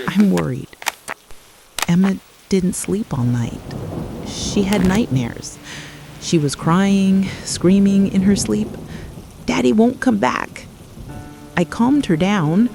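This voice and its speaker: A woman playing an anxious, loving wife and mother in a scripted radio drama, speaking haltingly and intimately, as if pleading. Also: mid-range voice, gravelly, dark tone, slight background noise.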